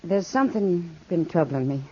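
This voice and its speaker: A woman's voice: on the low side, animated, moderate pace, warm tone, breathy edge. A woman playing a worldly, concerned frontier woman with an American accent, earnest and confiding, in 1950s radio western style. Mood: troubled. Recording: dull vintage radio recording.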